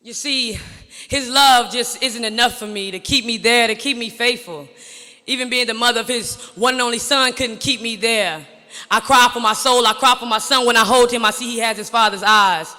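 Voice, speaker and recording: A teenage man performs a spoken word duet in a theatrical, sassy manner. His voice is very high and piercingly bright, and it echoes in a large hall. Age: teens. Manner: theatrical, sassy, rising to shouts. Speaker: slam poet. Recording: reverberant hall.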